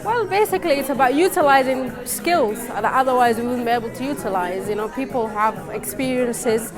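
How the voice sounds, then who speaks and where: A woman gives an informal interview answer. Her pitch is a bit high. Music and a crowd are clearly heard in the background. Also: a little fast. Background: music and chatter at indoor event.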